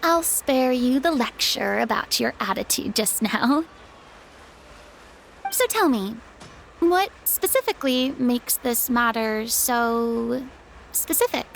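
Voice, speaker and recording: A proud, theatrical young woman, a retired stage star, with a high, bright voice. She speaks in a dramatic, grandiose way with self-pitying sighs. This is video game voice acting. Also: lively intonation, slow, vocal fry, slight background noise, heavily acted, poor audio.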